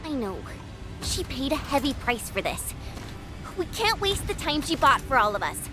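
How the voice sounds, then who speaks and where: Over a musical score comes a very high, girlish female voice. She is an impassioned, loyal young heroine, emphatic and animated in every phrase. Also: moderate pace, over music. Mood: resolute, pained. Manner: earnest, emphatic. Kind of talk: video game voice acting.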